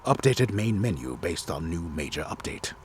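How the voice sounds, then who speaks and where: A man voicing a grave, disembodied game storyteller in a solemn, deliberate, theatrical reading. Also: low voice, big pitch swings, darkish tone, a little hiss or hum. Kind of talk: dramatic reading of game patch notes.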